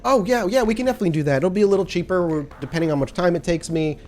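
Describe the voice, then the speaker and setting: A man's voice: high-pitched, rapid-fire, a little mellow, pitched above the performer's own voice, lightly acted. A man voicing a bashful, eager-to-please young male shop clerk in a tabletop game, stammering and apologetic. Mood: eager, flustered.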